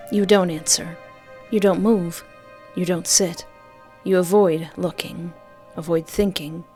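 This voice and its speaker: A woman's voice: on the low side, darkish tone. A woman hosting a gaming playthrough, reading a visual novel aloud with dramatic narration and playful commentary.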